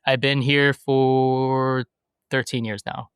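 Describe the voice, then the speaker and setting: Smooth-voiced man in his thirties. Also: mid-range voice, little pitch movement, takes it slowly, fairly bright tone, no echo, studio quality. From United States. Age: 30s. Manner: thoughtful, hesitant, many fillers and restarts. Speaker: podcast guest. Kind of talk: conversational interview.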